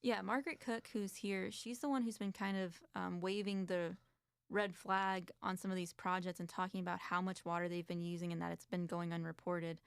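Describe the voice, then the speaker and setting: A woman's voice: fairly low pitch, level tone, normal speed, vocal fry, smooth, no echo, studio quality. A woman co-hosting an advocacy talk show, chatting casually and reading documents aloud with commentary. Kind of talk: casual discussion.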